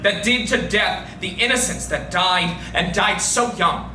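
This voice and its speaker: Guy playing a scornful grieving lover declaiming verse slowly, music behind. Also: sits high, bright, forceful tone, full character voice. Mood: scornful accusing. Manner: declamatory, accusing, theatrical. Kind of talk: dramatic poetry reading. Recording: roomy, distant recording with music.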